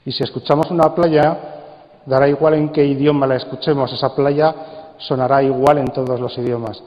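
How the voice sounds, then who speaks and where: A man with an accent from Spain gives a live, interactive talk to an audience, with measured, playfully theatrical phrasing. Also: medium pitch, steady tone. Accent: Spanish from Spain. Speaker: speaker and coordinator at a radio drama congress. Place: large indoor congress hall. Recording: dull, echoey hall recording with faint noise.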